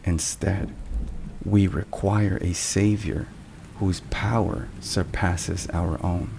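Slow, low, hushed man over rain. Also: creaky. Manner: hushed, measured, solemn delivery. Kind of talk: soft ASMR devotional scripted narration. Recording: rain and thunderstorm noise behind. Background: steady rain and thunder.

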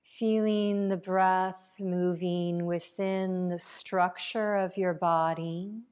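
A woman leading a guided meditation as a yoga nidra teacher, calm and unhurried, leaving long pauses between phrases. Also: on the low side, clear, resonant tone.

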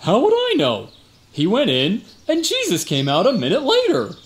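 Guy acting a slangy tough security guard for radio comedy, fibbing and bragging, pitch all over the place. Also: wildly swooping pitch, normal speed, heavily acted. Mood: earnest, indignant. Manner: folksy, slangy, exaggerating. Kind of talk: comic radio drama acting.

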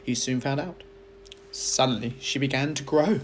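A man reading a children's story aloud like a teacher, with expressive narration. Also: mid-range voice, moderate pace, a little hiss or hum.

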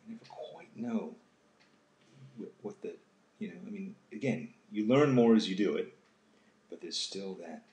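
A man in his sixties hosting a casual live drawing session, speaking haltingly and thoughtfully and often trailing off into a murmur. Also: deep voice, animated, darkish tone. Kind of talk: unscripted casual talk while drawing. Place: home kitchen, indoors. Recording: roomy echo, faint background noise.

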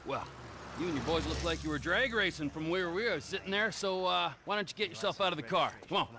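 An actor playing a brash, bossy traffic cop in a video game, with a commanding, folksy manner. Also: male voice, high-pitched, quick pace. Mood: stern, mocking. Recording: outdoor vehicle noise behind.

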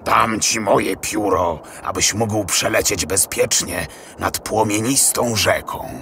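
A man voicing a kindly small magic raven in a fairy tale, creaky and deliberate as it gives guidance. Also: lowish voice, bright, forceful tone, a little hiss or hum, exaggerated voice. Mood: solemn, helpful. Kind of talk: storybook character voice.

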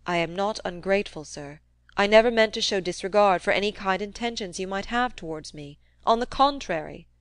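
Woman voicing a sulky, polite young gentleman. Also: mid-range voice, a little fast, dry recording, natural voice. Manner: stiffly polite, subdued.

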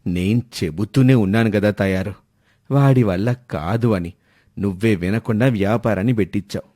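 A man's voice: on the low side, moderate pace, dark tone, no room sound, clean studio sound, close to an everyday voice. A man playing a stern, exasperated but yielding father, reproachful and grumbling, in a moral story. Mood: weary reproach.

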